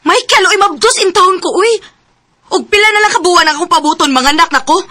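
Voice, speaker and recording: High-voiced pleading young Filipino wife , acted by a woman. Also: quick pace, bright, forceful tone, dry recording. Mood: upset, pleading. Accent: Cebuano Filipino. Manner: emotional, pleading. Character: pregnant neglected young wife and mother, pleading, long-suffering. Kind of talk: Filipino radio drama acting.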